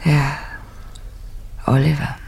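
Distraught daughter, slightly low voice, anxious, pleading, in a faintly noisy radio play recording. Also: female voice, level tone, takes it slowly, vocal fry, darkish tone, heavily acted. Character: distraught adult daughter tending her wounded father. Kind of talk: radio crime drama acting.

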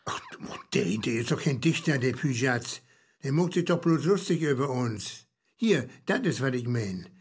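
An actor playing a grandiose, plaintive poet in a comic radio play, with a northern Low German accent. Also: male voice, animated, unhurried, breathy edge. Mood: grumbling. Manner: grandiose, theatrical. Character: pompous, plaintive male poet lamenting the decline of culture. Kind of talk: comic radio play dialogue with declaimed verse.

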